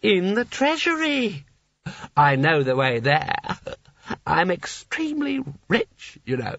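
A man voicing a tipsy, dreamy old male seraph who talks slowly and wanders off mid-thought, hiccuping. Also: high voice, wildly swooping pitch, creaky, fairly bright tone, dry recording, muffled recording, pitched above the performer's own voice, heavily acted.

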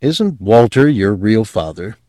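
A man's voice: lowish voice, big pitch swings, unhurried, dark tone, dry recording. Brief: a male reader as a shaken young man, clipped and urgent, in a dry close sound. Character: young man, tense and alarmed.

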